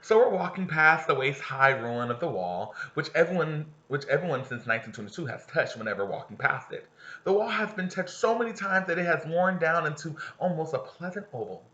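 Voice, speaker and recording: A male teacher reads a story aloud with a lively, swooping voice that rises and falls through each sentence. His tone is bright and forward, and he keeps breaking off to explain passages and put questions to his students. The recording sounds dull and lo-fi. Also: a little high, a little fast. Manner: animated, stops to explain and ask questions. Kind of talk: reading a novel aloud to students with commentary.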